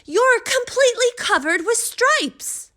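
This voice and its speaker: A woman's voice: extremely high pitch, lively intonation, slow, bright, forceful tone, voice pushed up, heavily acted. A woman voicing a bossy, fretting mother in a storybook, emphatic and exclamatory. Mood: horrified.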